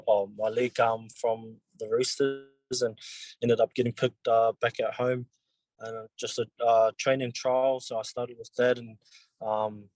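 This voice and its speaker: A young New Zealand man in his twenties, a rugby league player answering interview questions in a halting, casual way with many pauses and restarts. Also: medium pitch, darkish tone, no echo, low-quality recording.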